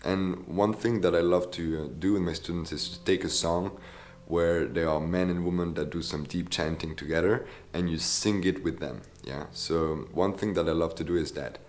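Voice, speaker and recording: A man's voice: deep voice, fairly even intonation, moderate pace, a little mellow, a little hiss or hum. A man teaching as a voice coach in a casual video tutorial, enthusiastic and often checking in with "yeah?". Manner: enthusiastic, tags sentences with "yeah?".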